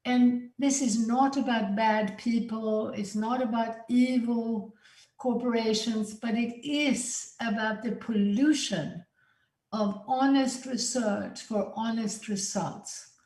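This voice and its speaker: Woman from sweden giving a slow earnest talk, kinda high voice, echoey conference hall. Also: steady tone, a little mellow. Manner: earnest, deliberate pleading. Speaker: conference speaker.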